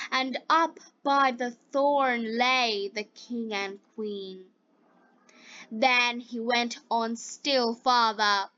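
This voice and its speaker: A woman reading a fairy tale as scripted narration for language learners, in halting, deliberate short phrases. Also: fairly high pitch, bright, forceful tone, dry recording, lo-fi sound. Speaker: storyteller for English learners.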